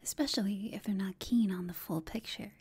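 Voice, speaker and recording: A woman playing a flirtatious, smug female villain who taunts an enemy in a breathy whispered ASMR roleplay. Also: medium pitch, darkish tone. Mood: flirtatious teasing. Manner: slow, teasing, smug.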